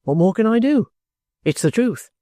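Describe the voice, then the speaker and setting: Reader putting on a mellow, bluff old-soldier man. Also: medium pitch, sing-song, normal speed, dry recording, studio quality, natural voice. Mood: good-humoured. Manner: respectful, offhand, self-possessed. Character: bluff, stubborn, good-humoured male ex-soldier, courteous and plain-spoken.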